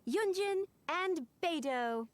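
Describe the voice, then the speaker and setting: High-voiced, courteous, efficient aide. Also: female voice, lively intonation, takes it slowly, soft background music, full character voice. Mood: official announcing. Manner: polite, formal, announcing. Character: courteous, efficient young female aide announcing on her lady's behalf. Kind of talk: anime video game voice acting.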